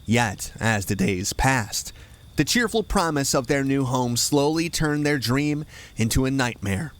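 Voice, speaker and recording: A man hosting, reading a scripted campfire-style introduction with a measured storyteller delivery. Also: mid-range voice, expressive. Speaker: host.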